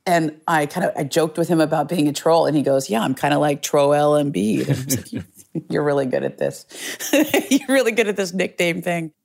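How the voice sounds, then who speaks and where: A woman's voice: low voice, moderate pace, vocal fry, darkish tone. A middle-aged woman journalist telling a story in an earnest, reflective way, often restarting her phrases. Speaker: journalist guest. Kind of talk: conversational storytelling interview.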